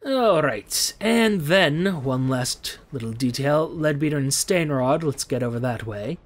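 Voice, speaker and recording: Guy musing through a game, lots of hmms, reads the text out, voice going a bit hoarse. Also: fairly high pitch, expressive, unhurried. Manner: musing, thinks aloud with frequent hums. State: losing his voice. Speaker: game streamer. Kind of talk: let's play commentary with reading of game text.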